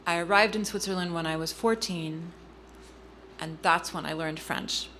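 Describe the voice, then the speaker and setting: A middle-aged woman telling a personal family story in an interview, earnest and halting, with frequent ums and restarts. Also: on the low side, steady tone, a bit bright, slight background noise. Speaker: guest speaker.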